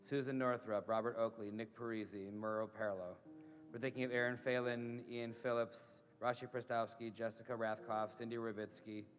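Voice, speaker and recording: A man leading a synagogue service as rabbi, gentle and halting, often repeating his words. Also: medium pitch, fairly even intonation. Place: synagogue sanctuary. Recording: reverberant sanctuary with music.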